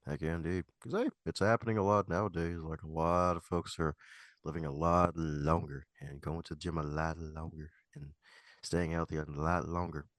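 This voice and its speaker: A man's voice: extremely low pitch, steady tone, moderate pace, no room sound, studio quality. A man hosting a casual interview podcast, jokey and folksy, peppering his talk with stock affirmations and slang. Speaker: podcast host. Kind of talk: casual interview chat with playful asides.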